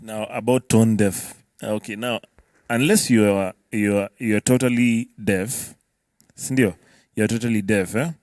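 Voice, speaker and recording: A man explaining casually and deliberately as a guest on a panel, checking that listeners follow. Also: fairly low pitch, fairly even intonation, a little mellow, no room sound, studio quality. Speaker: music producer, panel guest.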